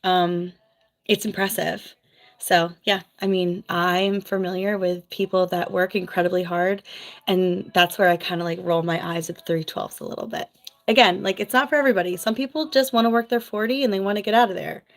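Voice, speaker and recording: A woman's voice: mid-range voice, steady tone. A woman from New Jersey with a Philadelphia accent, chatting frankly with viewers as a former nurse. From US East Coast, New Jersey. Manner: chatty, frank, quick asides with laughs. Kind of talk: casual livestream chat reading viewer comments.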